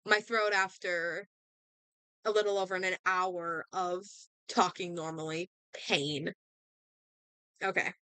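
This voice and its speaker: A woman's voice: on the low side, steady tone, takes it slowly, pauses often, sharp tone, clear, resonant tone, dry recording, low-quality recording. A young woman with a sore throat, chatting casually and thinking aloud while playing a game. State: sore throat, losing her voice; hiccups. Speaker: gaming streamer.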